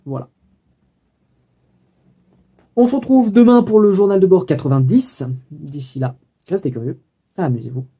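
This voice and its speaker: A young man recaps his day for a video diary, his voice very dark and mellow and sitting a touch high. He talks hesitantly, filling pauses and correcting himself as he goes. The delivery stays casual and personal. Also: expressive, husky. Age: young adult. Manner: hesitant, many fillers and self-corrections. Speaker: student vlogger.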